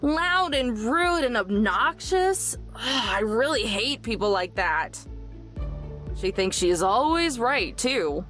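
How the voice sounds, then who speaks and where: A woman's voice: on the high side, expressive, slow pace, a bit bright, soft background music. A woman voicing a blunt, guarded young woman in a visual novel, with a dry, defensive manner. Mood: annoyed.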